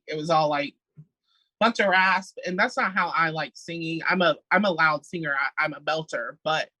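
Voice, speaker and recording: A man's voice: a little high, bright, forceful tone, no room sound, poor audio. A young man, a singer, chatting playfully as a podcast guest and laughing often. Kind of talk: casual interview chat.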